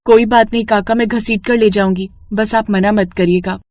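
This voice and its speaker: A woman's voice: mid-range voice, fairly even intonation, moderate pace, a little mellow, no room sound, close to an everyday voice. A woman voicing a poor, orphaned young village woman, earnest, plaintive and polite, in a cartoon moral story.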